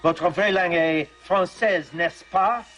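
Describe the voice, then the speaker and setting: Pushy interrogator, higher male voice, faint music. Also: measured pace, a bit bright. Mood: probing. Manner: pressing, probing questions.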